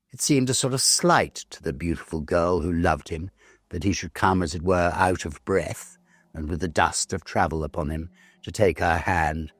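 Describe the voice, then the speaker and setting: A very low-voiced British man narrates a classic tale with theatrical, measured care. His voice is creaky and swoops widely in pitch as he reads. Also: no room sound, studio quality. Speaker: storyteller reading a classic ghost story. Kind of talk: expressive dramatic audiobook narration.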